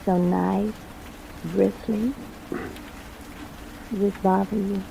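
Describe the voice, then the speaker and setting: Over a noisy old radio recording, a bold femme fatale. She has a medium-pitched, creaky purr, teasing and languid in 1940s noir fashion. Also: extremely slow, heavily acted. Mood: flirtatious. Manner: coy, purring, teasing. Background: steady rain and train rumble.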